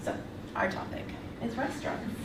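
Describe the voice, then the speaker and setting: A woman teacher explaining a class activity with encouraging, hesitant phrasing. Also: moderate pace. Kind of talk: classroom instructions. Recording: echoey room, distant mic, faint noise.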